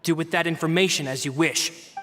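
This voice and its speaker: Casting: male actor for a gruff underling barking orders in a game, tense and sharp, raised pitch. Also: fairly quick, a little hiss or hum, lightly acted. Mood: impatient, threatening. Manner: brusque, rough. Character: gruff, impatient male henchman giving orders. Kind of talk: video game voice acting.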